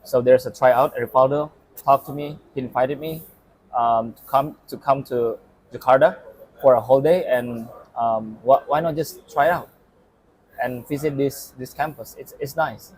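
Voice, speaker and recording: A man's voice: medium pitch, a little slow, a little mellow, slight background noise, low-quality recording. Hesitant young man telling his story. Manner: hesitant, many ums, storytelling. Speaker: former player and assistant coach. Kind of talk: casual interview chat.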